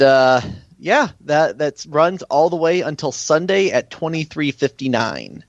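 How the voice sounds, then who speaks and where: A man's voice: medium pitch, gravelly, no room sound, lo-fi sound. An American man talking casually as an interview guest and event organizer.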